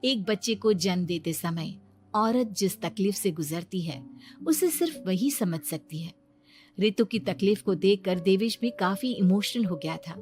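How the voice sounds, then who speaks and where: A woman giving scripted storytelling narration for an animated moral story. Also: mid-range voice, measured pace. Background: music.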